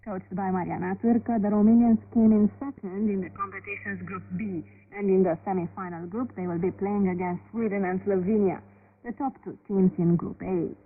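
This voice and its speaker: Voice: medium pitch, steady tone, moderate pace. A sports presenter comes through a thin, narrow shortwave signal with faint noise around it. The reading is scripted and bulletin-style, delivered as studio radio news. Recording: noisy, narrow-band shortwave radio reception.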